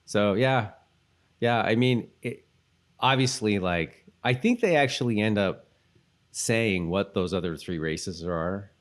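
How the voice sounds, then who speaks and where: A man's voice: fairly low pitch, slow pace. A man co-hosting a podcast in casual conversation, tentative and thinking aloud as he goes. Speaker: podcast co-host. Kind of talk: casual rewatch podcast chat.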